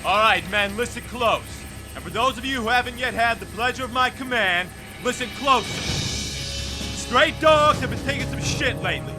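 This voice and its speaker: Fired-up military commander barking high and loud. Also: male voice, expressive, normal speed. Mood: stern, rousing. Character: gruff, fired-up male military squad commander rallying his troops. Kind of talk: video game cutscene acting. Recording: loud music and engine rumble behind.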